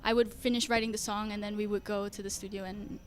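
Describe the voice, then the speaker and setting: A woman's voice: mid-range voice, flat delivery, fast talker. A teenage girl from Singapore, a young singer answering interview questions in a shy, hesitant way with many fillers. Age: teens.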